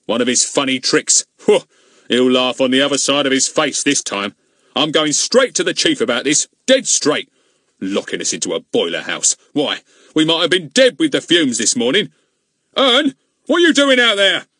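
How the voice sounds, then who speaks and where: A man's voice: mid-range voice, lively intonation, normal speed, no room sound, clean studio sound, voice pushed up. A man voicing a pompous, blustering middle-aged village policeman who roars and grumbles crossly. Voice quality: loud, cross and bossy.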